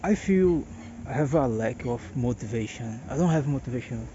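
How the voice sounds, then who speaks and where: A tired Brazilian man in his late thirties with a Portuguese accent, talking slowly and haltingly to camera in a personal monologue, often restarting his words. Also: medium pitch, lively intonation, dark tone. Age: 30s. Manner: hesitant, halting, repeats words. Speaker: vlogger. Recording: dull lo-fi audio with background noise.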